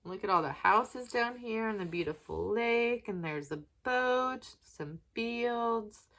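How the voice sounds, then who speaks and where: A woman narrating a children's picture book in an expressive way, with question-asking asides to young listeners. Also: fairly high pitch, takes it slowly. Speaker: children's story reader.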